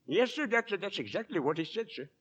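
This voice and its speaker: An actor playing an excitable Victorian witness at an inquest, earnest, deferential yet insistent, in 1940s radio style. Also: male voice, high-pitched, a little fast, slightly rough, heavily acted. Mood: agitated. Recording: dull vintage radio recording.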